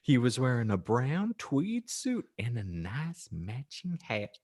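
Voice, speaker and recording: A man's voice: medium pitch, wildly swooping pitch, takes it slowly, darkish tone, husky. A man hosting a podcast, reading a story aloud with theatrical, mock-earnest flair and jokey asides. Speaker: podcast host and story reader. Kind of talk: comic audiobook narration with casual asides.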